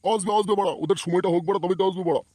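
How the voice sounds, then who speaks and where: A man's voice: high-pitched, fairly even intonation, racing, warm tone, dry recording, clean studio sound. A man voicing a bossy, scolding, foul-mouthed older male character in a slapstick comedy cartoon. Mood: anxious, busy. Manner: scolding, blustering, swearing.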